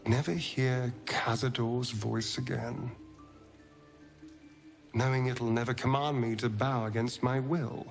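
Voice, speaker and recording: A man playing an elegant, brooding vampire spawn in a fantasy game, refined, with dramatic pauses. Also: medium pitch. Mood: awed, relieved. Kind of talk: fantasy video game acting.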